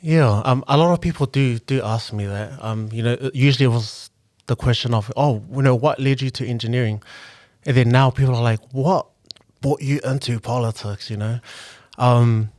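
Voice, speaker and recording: A man's voice: mid-range voice, moderate pace, darkish tone, no room sound. Earnest young man, dry close sound. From New Zealand. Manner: earnest, reflective, frequent "um" and restarts. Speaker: guest, local politician and engineer. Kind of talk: casual podcast conversation.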